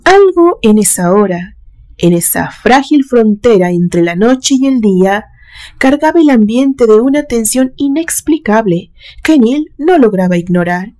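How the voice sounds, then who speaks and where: A woman reading a literary suspense story aloud in a measured, dramatic storytelling manner. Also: a little high, animated, warm tone. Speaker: narrator reading a horror story.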